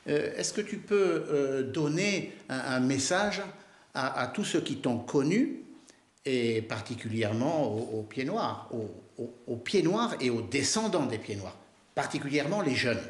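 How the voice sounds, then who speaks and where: A man's voice: mid-range voice, expressive, unhurried. Hesitant male interviewer in a roomy space. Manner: hesitant, many fillers. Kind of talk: interview questions.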